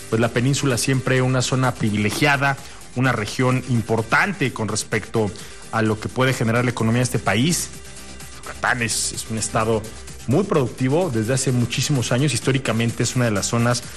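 A man with a Mexican accent hosting a radio show in a courteous, chatty, conversational way. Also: mid-range voice. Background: music bed.

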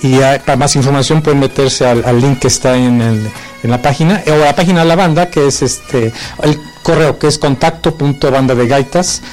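A man's voice: medium pitch, fairly quick, a little mellow, soft background music. A man, raised partly in the United States, explaining things informally as an interview guest. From born in Mexico, grew up in the United States. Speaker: interview guest, pipe band member.

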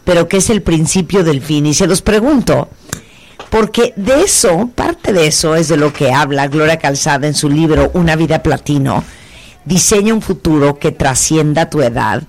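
A woman hosting a radio talk show, chatting playfully with quick asides. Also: sits low, lively intonation, a little mellow, no echo.

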